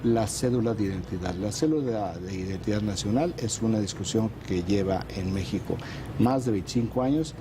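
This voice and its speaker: Guy on a politics panel, explains stuff carefully with lots of ums, noisy background. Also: fairly low pitch. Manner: measured, explanatory, with frequent hesitations. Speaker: political analyst presenting news roundup. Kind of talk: panel talk show.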